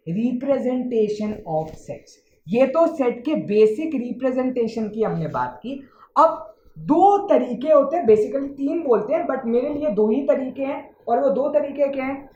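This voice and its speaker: A woman's voice: on the high side, dark tone, slight background noise. A woman teaching maths in mixed Hindi and English, patiently repeating check-ins and drawing out the English terms. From India. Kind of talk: Hindi-English classroom explanation. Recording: echoey room, slightly muffled.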